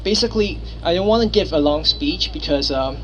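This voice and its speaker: Male student, early twenties, Hong Kong accent, slightly high voice, apologetic impromptu speech, some noise behind. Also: animated, fairly quick. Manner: apologetic, unpolished, heartfelt. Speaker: exchange student speaker at a rally. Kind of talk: impromptu personal public speech. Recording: noisy ambient recording with vehicle sounds.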